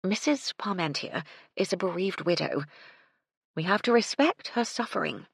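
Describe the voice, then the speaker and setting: Woman doing a polite, measured hotel keeper for an audiobook, low and gravelly. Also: animated, no room sound, clean studio sound, full character voice. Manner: prim, measured and courteous. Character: composed, polite female hotel owner. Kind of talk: audiobook character voice.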